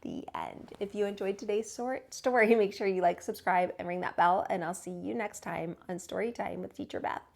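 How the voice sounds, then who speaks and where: A woman teacher reading a picture book aloud to young children in an expressive storytelling style. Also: mid-range voice, normal speed, vocal fry, hardly any pauses. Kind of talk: children's read-aloud narration.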